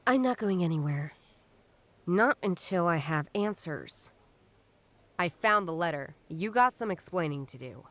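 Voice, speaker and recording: A stubborn woman detective in a noir video game, with a slightly low voice. She muses in a wry, doubtful way, as if thinking through a case alone. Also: animated, a little slow, a bit bright. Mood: defiant. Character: stubborn, skeptical woman detective, puzzling things out. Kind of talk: noir detective game voice acting, inner monologue.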